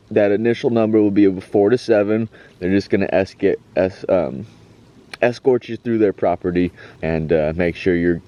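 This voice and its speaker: A hoarse man vlogging casually while hiking, rambling and halting, with frequent laughs. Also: lowish voice, no room sound, dull audio. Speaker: hiker vlogger. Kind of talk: casual trail vlog. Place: outdoors on a rural trail.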